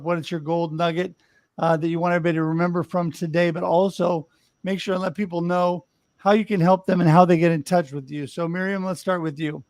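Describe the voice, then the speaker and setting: A man's voice: fairly high pitch, dry recording. A man hosting a talk show over a video call, upbeat and encouraging as he wraps up with his guests. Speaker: show host. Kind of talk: casual interview hosting.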